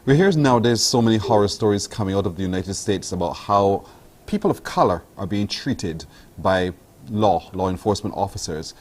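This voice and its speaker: Host: man, lowish voice, conversational and probing interviewer. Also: darkish tone. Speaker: morning show host. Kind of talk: interview questions.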